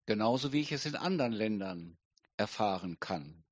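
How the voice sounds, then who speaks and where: A middle-aged man preaching a sermon slowly and deliberately, with emphatic pauses. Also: fairly low pitch, lively intonation, dry recording, dull audio. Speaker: pastor.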